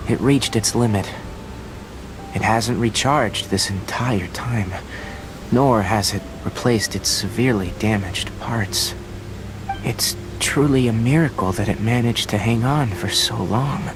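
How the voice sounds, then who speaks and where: An actor playing a calm, earnest young researcher in a video game, explaining things in a measured, considerate way. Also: male voice, fairly low pitch, background noise, natural voice. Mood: somber.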